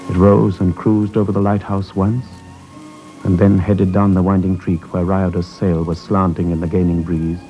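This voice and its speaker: An actor narrating a wartime tale as a grave storyteller in a 1950s radio play. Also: male voice, low-pitched, level tone, moderate pace, warm tone, faint music behind, close to an everyday voice. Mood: grave, lyrical. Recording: dull vintage radio recording.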